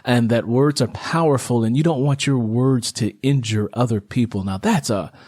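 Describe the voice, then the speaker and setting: A man's voice: mid-range voice, moderate pace, darkish tone, no echo, clean studio sound. A man hosting a casual interview, affirming his guest and repeating points for emphasis. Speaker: interview host.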